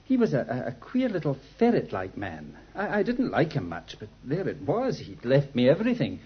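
An actor playing an anxious, earnest young Englishman in a 1950s radio drama, speaking in a nervous, halting, pleading way. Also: medium pitch, huge pitch swings, breathy edge, dull audio, full character voice. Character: anxious, earnest young man protesting his innocence.

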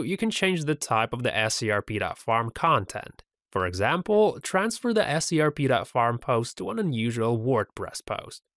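A man presenting a scripted software tutorial voice-over, upbeat and giving step-by-step instructions. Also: mid-range voice, dry recording, clean studio sound.

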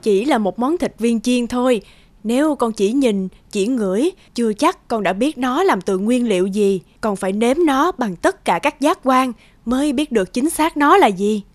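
A woman playing a patient, gentle mother who explains things coaxingly to her son in a cartoon. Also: a little high, lively intonation, normal speed, dry recording, natural voice. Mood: gentle, explaining. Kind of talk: cartoon dialogue, moral story. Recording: loud music under the voice.

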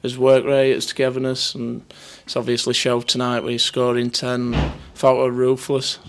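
A man's voice: medium pitch, monotone, normal speed. A man in his twenties with a Northern English accent, giving a casual, matter-of-fact post-match interview as a player. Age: 20s. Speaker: footballer being interviewed after a match. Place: indoors in front of a sponsor board. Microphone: handheld interview microphone held near him.

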